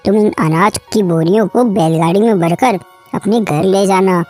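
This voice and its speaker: A woman's voice: medium pitch, normal speed, darkish tone, faint music behind, close to an everyday voice. A woman voicing a trusting, hardworking male farmer, earnest and obliging, in a cartoon moral story. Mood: earnest, practical.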